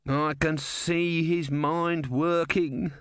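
A man voicing an irascible, insulting god in an audiobook, shouting in exasperation and sarcasm. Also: mid-range voice, normal speed, no room sound, clean studio sound, voice pushed up, close to an everyday voice. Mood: exasperated, yelling. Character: irascible male god, shrill, insulting, screaming. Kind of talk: audiobook character voice.

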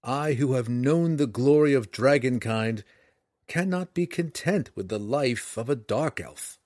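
A man voicing a cunning male dragon trapped in a dark elf's body, who pleads and argues in a dramatic way in a storybook reading. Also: medium pitch, measured pace, no room sound, clean studio sound, close to an everyday voice. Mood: pleading.

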